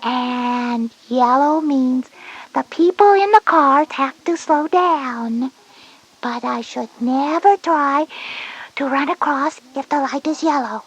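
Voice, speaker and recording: Casting: a tiny curious little sister, breathy, soft and high, earnest, for a children's educational story. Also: female voice, takes it slowly, highs cut off.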